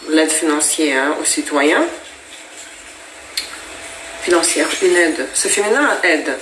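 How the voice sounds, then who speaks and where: A woman's voice: low-pitched, animated, slow, fairly bright tone. Woman reading tarot cards from home, russian is her first language, talks chatty and hesitant, roomy echo, bit of noise. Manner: chatty, explaining, frequent "hein" and hesitations. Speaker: tarot reader. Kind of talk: casual tarot reading to viewers. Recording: echoey room.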